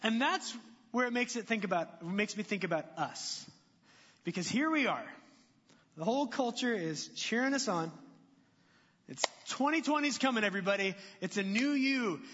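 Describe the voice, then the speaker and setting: A man preaching in a church sanctuary, chatting and joking with the congregation between points. His voice sits high for a man. The sound is muffled, with a long reverb trailing off each phrase. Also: animated, moderate pace, fairly bright tone, breathy edge. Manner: conversational, jokey, asks the congregation questions. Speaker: pastor. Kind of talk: sermon.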